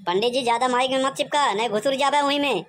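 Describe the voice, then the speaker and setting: High-pitched cheeky village troublemaker, acted out. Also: quick pace, a bit bright. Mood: cheeky, teasing. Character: cheeky, insolent village troublemaker at festival pandal. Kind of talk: animated comedy cartoon dialogue.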